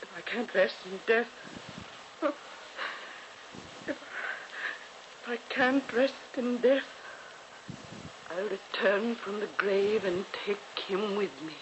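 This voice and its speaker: A woman's voice: medium pitch, takes it slowly, pauses often, close to an everyday voice. A woman playing a frail, delirious noblewoman in a fervent, pleading 1940s radio horror performance. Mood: dread, insistent. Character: frail, delirious, doomed noblewoman twin sister. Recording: noisy, hissy 1940s radio recording.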